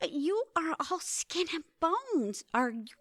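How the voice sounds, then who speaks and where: A woman's voice: extremely high pitch, sing-song, slow, fairly bright tone, dry recording, studio quality, heavily acted. A woman playing a doting, fussing middle-aged mother in an audio theatre comedy-drama, with a gushing, motherly manner.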